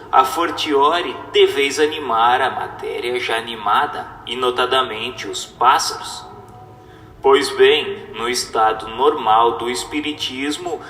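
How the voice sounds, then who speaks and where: Male narrator, slow and didactic, oratorical delivery in an echoey hall sound with music behind. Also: mid-range voice, bright, forceful tone. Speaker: narrator reading a spiritist text. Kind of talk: dramatized scripted reading, oratorical.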